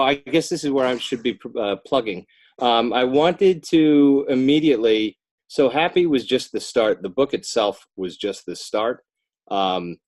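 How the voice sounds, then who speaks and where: A low-pitched man with an American accent talks as a guest in a casual interview. He rambles a little, backs up to correct himself and pieces his answers together in a halting way. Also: a little mellow. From Northern New Jersey, United States. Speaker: podcast guest, children's book author. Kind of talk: casual podcast interview chat.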